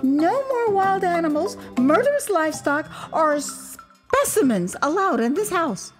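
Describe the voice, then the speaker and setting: A woman storyteller puts on an exasperated mother in an audio memoir. She is stern and emphatic, her pitch jumping up as she lays down the law, with music clearly behind her. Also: sing-song, unhurried, exaggerated voice.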